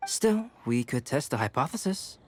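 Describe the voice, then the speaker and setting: A man playing a calm, articulate young scholar in a video game, speaking precisely and formally. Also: mid-range voice, normal speed, a little hiss or hum, close to an everyday voice. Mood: analytical. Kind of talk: animated video game voice acting.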